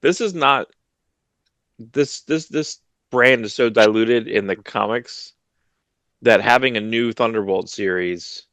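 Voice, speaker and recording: Low gravelly guy chatting comics news, dry close sound, kinda rambly. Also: a bit bright, no echo. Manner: rambling, many restarts, low-key. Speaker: podcast co-host. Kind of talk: casual chat about comics news. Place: home room, video call.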